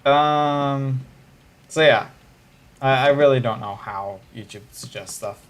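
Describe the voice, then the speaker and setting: A man's voice: mid-range voice, slow pace. A man, chatting casually on a livestream in a musing, hesitant way with frequent restarts. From Israel.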